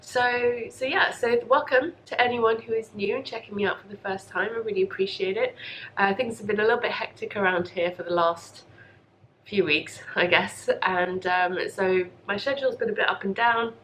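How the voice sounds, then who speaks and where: A woman's voice: mid-range voice, normal speed, a bit bright, slight background noise, poor audio. A young woman hosting a casual, chatty podcast, congested from a cold, rambling in an apologetic, self-deprecating way. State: congested and coughy from a lingering cold. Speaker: podcast host. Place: home craft room.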